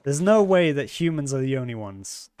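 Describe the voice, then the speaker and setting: A man's voice: medium pitch, normal speed, clear, resonant tone. A man chatting casually and joking with friends during a livestream. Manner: joking, animated storyteller. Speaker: livestream gamer. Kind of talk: casual chat.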